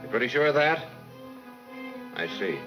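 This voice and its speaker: An actor playing an urgent, businesslike, clipped detective in 1940s film serial style, on an old soundtrack. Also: male voice, mid-range voice, very animated, moderate pace, lo-fi sound, lightly acted. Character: urgent, clipped male detective making calls. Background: loud dramatic music.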